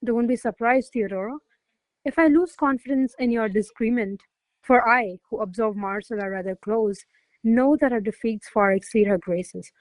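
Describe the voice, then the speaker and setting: A woman playing a haughty, jealous noble mistress in a classical radio play, speaking in a formal, imperious, measured manner. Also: on the high side, no echo, lightly acted, low-quality recording. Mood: probing, disdainful. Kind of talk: stage-style radio play acting of classical verse.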